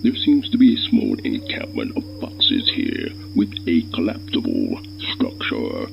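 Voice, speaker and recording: A dutiful rabbit lieutenant commander in a space radio play. He is gruff and extremely gravelly, rumbling very low. His lines come slowly, over a faint hum. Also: wildly swooping pitch, highs cut off, exaggerated voice. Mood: dutiful, tense. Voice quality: very deep, gravelly. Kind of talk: scripted audio drama acting.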